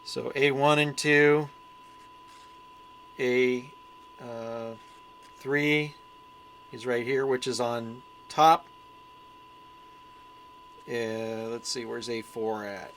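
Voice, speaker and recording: A man giving a casual, unscripted repair walkthrough, plainspoken and thinking aloud with pauses as he works. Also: mid-range voice, steady tone, fairly bright tone, a little hiss or hum. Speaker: hobbyist radio repairer presenting his channel.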